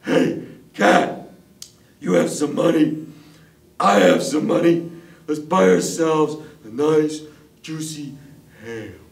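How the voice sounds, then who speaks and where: A man voicing a big, emotional dog in a children's tale, loud, emphatic and drawn out. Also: slow, gravelly, long pauses. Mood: eager. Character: big Rottweiler dog, eager, then frustrated and tearful. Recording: roomy, distant mic, faint rumbling noise.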